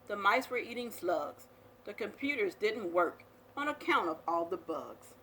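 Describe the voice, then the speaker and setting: Bright, animated woman reading a storybook. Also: medium pitch, unhurried, a little hiss or hum, low-quality recording. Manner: animated, dramatic storytelling delivery. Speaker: storyteller reading aloud to young children. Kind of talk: expressive children's picture book read-aloud.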